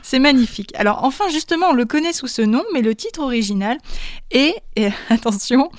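A woman's voice: high voice, lively intonation, brisk, no room sound. A woman presenting music on the radio in a playful, teasing way, laughing often as she chats. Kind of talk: lively radio music chat. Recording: dry studio sound.

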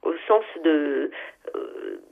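A woman's voice: sits low, huge pitch swings, very slow, vocal fry. A woman in her seventies from Algeria, a writer answering interview questions. She speaks in a thoughtful, hesitant way, with many fillers, and lists her ideas one after another. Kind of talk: radio interview, reflective answers. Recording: phone-line sound, narrow band.

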